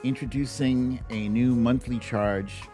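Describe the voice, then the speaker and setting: A man's voice: mid-range voice, fairly even intonation, unhurried, warm tone. A middle-aged man hosting a livestream, chatting informally and playfully with viewers, stretching words and tossing in asides. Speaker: livestream show host. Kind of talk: casual livestream chat with viewers. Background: background music.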